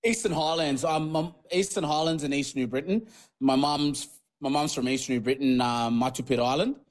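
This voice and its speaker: A man's voice: a little high, normal speed. Papua New Guinea-born man, rambling. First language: Tok Pisin. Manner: rambling, many fillers and restarts. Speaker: guest, former rugby league player. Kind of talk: casual podcast interview.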